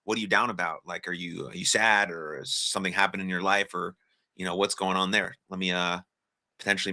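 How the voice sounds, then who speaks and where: A man's voice: low voice, bright, forceful tone. An American man hosting a live stream, chatting casually with viewers and reading their comments aloud, friendly and encouraging with lots of filler words. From United States. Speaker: livestream host.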